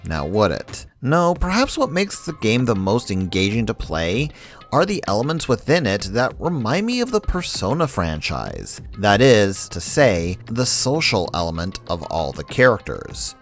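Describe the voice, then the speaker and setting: A man narrating a scripted video essay in a conversational way with wry asides. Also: on the low side. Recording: background music under voice. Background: music.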